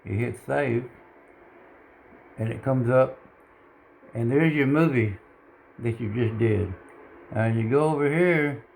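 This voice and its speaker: A man talks through a hobby tutorial in a halting, plain-spoken way. He is informal and a little self-deprecating, with an average-pitched voice. Background noise and room ambience sit behind him. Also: gravelly, darkish tone, low-quality recording. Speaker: hobbyist video tutorial presenter. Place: indoors at a home radio bench.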